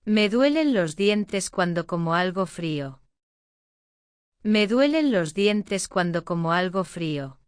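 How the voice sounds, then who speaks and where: A woman reads short lesson phrases, saying each one twice with careful enunciation. Her pace is very unhurried and her voice is smooth and crisp. It is recorded dry on a close mic. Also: fairly low pitch, animated, no echo. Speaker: language lesson reader. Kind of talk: slow repeated phrase reading.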